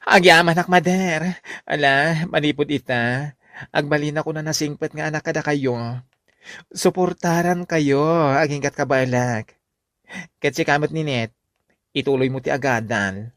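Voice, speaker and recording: Campy, melodramatic elder sibling. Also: male voice, a little high, unhurried, a bit bright, dry recording, studio quality. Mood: grateful, bossy. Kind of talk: Ilocano radio comedy drama acting.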